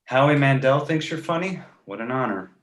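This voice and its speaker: A sarcastic baby boy played by a grown man who speaks in full adult sentences for an animated comedy read. The delivery is snarky and very lively in pitch, recorded in an echoey room. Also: a little fast, close to an everyday voice. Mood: mock innocence. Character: precocious snarky two-month-old baby boy who talks. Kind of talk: live table read of an animated comedy script.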